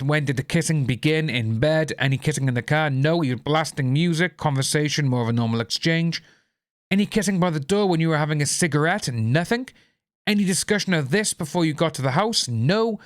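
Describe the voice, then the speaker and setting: A man with a Welsh accent, a YouTube commentator reading courtroom testimony aloud in a steady, low-key way and adding short apologetic asides. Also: no echo, clean studio sound.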